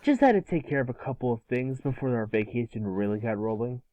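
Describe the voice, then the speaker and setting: A man voicing a brash, excitable young cartoon hero in an amateur table read. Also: mid-range voice, fast talker, no room sound, natural voice. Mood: pleased. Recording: dull, lo-fi microphone.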